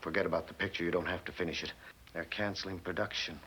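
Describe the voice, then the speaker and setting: Firm, deep-voiced, weary agent. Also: male voice, fairly even intonation, quick pace, bright, forceful tone, poor audio. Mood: grave, sympathetic. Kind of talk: 1960 TV drama acting. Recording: hissy old TV soundtrack.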